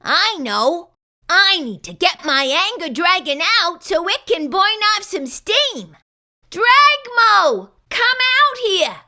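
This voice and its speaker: A woman's voice: extremely high pitch, very animated, takes it slowly, bright, forceful tone, exaggerated voice. A woman voicing a grumpy, short-tempered storybook character, scolding dramatically as in a children's read-aloud. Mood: excited urgent.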